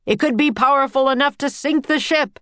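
A woman's voice: on the high side, normal speed, fairly bright tone, smooth, no room sound, pitched above the performer's own voice, close to an everyday voice. A stern ship's captain from a children's tale, played by a woman reader. He is a man on edge, barking orders in a raised, alarmed voice.

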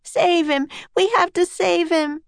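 A woman's voice: extremely high pitch, fairly even intonation, unhurried, creaky, no room sound, pitched above the performer's own voice, exaggerated voice. A woman voicing a tender-hearted young girl in a children's storybook, crying out with urgent, impassioned pleas. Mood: desperate pleading.

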